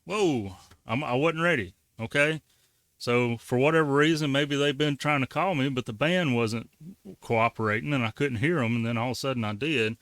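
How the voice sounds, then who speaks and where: A man's voice: medium pitch, moderate pace, fairly bright tone, dry recording. A man giving a casual, folksy how-to walkthrough as the host of a hobby tutorial. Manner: folksy, colloquial. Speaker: ham radio tutorial host.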